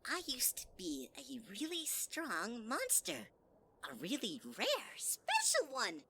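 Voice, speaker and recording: A woman's voice: wildly swooping pitch, takes it slowly, gravelly, very noisy, heavily acted. A woman voicing a sweet-talking, sinister male flower in a fan audio drama, syrupy and teasing. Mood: sugary. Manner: syrupy, teasing, swings high and low.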